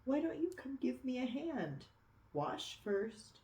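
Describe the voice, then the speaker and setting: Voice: high-pitched, big pitch swings, takes it slowly, dark tone, reverberant, slight background noise, voice pushed up, heavily acted. A reader voicing a kindly woman baker in a storybook read-aloud. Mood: kindly, encouraging. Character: kindly woman baker inviting a small dragon in.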